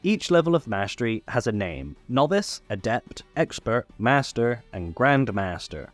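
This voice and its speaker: A man presents a scripted tutorial with lively, swinging intonation over background music. His pitch sits in the middle range, and he explains things step by step with small playful asides. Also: unhurried, dry recording. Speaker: tutorial host.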